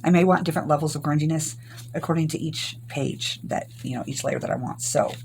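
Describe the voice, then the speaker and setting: An older woman from Arkansas, hoarse from recent illness, chatting through a rambling crafting tutorial. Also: low-pitched, brisk, a little mellow, a little hiss or hum. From Arkansas, US South. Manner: rambling, homey, many ums. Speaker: craft channel host.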